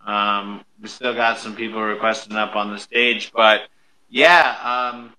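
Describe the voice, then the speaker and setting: A man's voice: fairly low pitch, fairly even intonation, measured pace, bright, forceful tone, a little hiss or hum, poor audio. A man hosting a live online audio room in an upbeat, enthusiastic, chatty way. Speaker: host of an online audio show. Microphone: live social audio room call.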